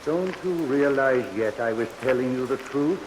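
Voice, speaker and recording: A man's voice: medium pitch, animated, normal speed, lightly acted. Man playing a mournful old morgue keeper, echoing. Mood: somber. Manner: gentle, regretful, foreboding. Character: mournful, kindly old male morgue attendant. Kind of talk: old-time radio drama acting. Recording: reverberant vintage radio recording, rain noise.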